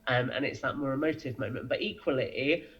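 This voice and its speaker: A man's voice: medium pitch, brisk, muffled recording. A man giving conversational interview answers as a podcast guest, explaining things with enthusiasm. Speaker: podcast guest, audio drama creator.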